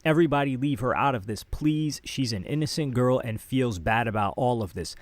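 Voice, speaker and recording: An American man with a mid-range voice presents celebrity gossip commentary, reading posts out loud between his own remarks. The sound is dry, with very little room in it. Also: medium pitch, expressive, a little fast, dry recording.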